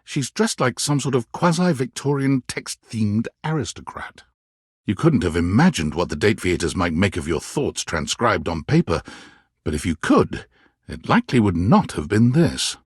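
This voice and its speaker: A man narrating a dating video game as a dramatic storyteller, reading scripted prose with a husky voice. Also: fairly low pitch, big pitch swings, moderate pace, dry recording, clean studio sound. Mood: wry intrigue.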